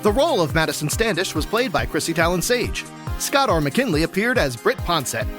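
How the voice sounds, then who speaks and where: A man's voice: high-pitched, big pitch swings, a little fast, music in the background. Upbeat man reading credits. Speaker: announcer. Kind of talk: credits announcement.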